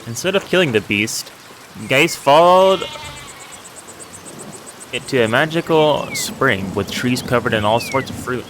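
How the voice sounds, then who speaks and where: A friendly, humble miller from a historical video game, acted out by a man. He talks in a folksy, storytelling way with a bright, forward voice. A little noise sits behind him. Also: medium pitch, expressive, measured pace, pauses often. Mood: eager storytelling. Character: friendly, humble village miller who loves old legends. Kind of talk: reading video game dialogue aloud.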